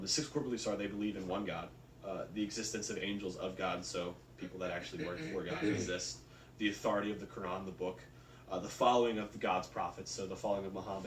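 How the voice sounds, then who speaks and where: A man presents a research report informally, with loose, casual phrasing. His voice sits a bit low. He is recorded at a distance in an echoey room with some faint noise behind him. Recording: roomy, distant mic, faint background noise.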